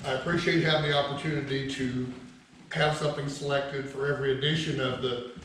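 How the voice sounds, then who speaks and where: A man's voice: medium pitch, steady tone, fairly quick. An American man in his fifties from Indiana, reading his own humorous memoir aloud as its author. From Indiana, United States. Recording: very echoey room, distant mic, faint noise.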